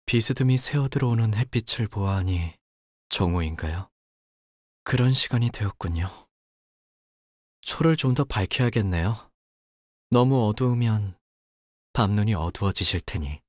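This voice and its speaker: Solemn young man, low voice, subdued, polite, measured delivery, game character, dry close recording. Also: expressive, dry recording. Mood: calm, somber. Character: solemn, softly spoken young curse-talisman wielder, resigned and polite. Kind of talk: video game character voice lines.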